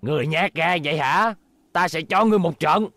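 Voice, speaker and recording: A man's voice: a little high, brisk, bright, forceful tone, no echo. An actor playing a menacing, taunting male robot bully who sneers threats in a dubbed cartoon.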